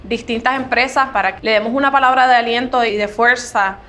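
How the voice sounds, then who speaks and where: A woman's voice: fairly high pitch, fairly even intonation, brisk, a bit bright. A woman with a Puerto Rican accent explaining as a foundation member in an interview, adding little tag questions.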